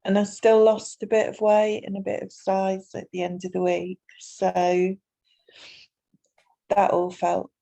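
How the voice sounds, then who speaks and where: A woman's voice: medium pitch, completely flat delivery, measured pace, gravelly, dark tone, poor audio. A woman on a coaching call giving a plain, matter-of-fact update. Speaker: coaching client. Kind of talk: casual group coaching call.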